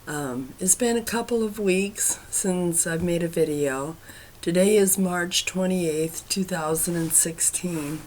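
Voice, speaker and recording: A middle-aged woman chatting casually to her viewers, hesitant with many ums and trailing off quietly, her throat raspy from a cough. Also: fairly low pitch, slow pace, slight background noise. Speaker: cross-stitch vlogger.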